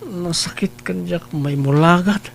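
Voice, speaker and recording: A man's voice: high-pitched, unhurried, creaky, slight background noise. A man playing a stubborn, hungover, hard-drinking son with an Ilocano accent, groaning and complaining in a comedy radio drama. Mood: groggy, groaning.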